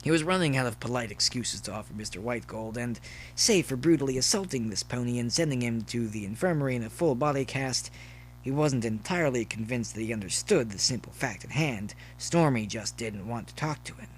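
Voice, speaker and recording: A man's voice: medium pitch, animated, fairly quick, rough recording. Man narrating a story over faint noise.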